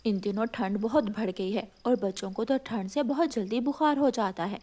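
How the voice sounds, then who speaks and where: A kind female nightingale healer from a cartoon, played by a woman. She has a mellow, mid-pitched voice and a calm, earnest manner. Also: normal speed, close to an everyday voice.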